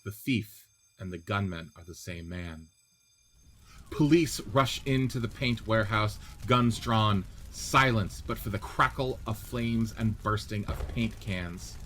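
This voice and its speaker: A man's voice: lowish voice, a bit bright. A man narrating screenplay scene directions as a podcast host and reader. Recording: faint background noise.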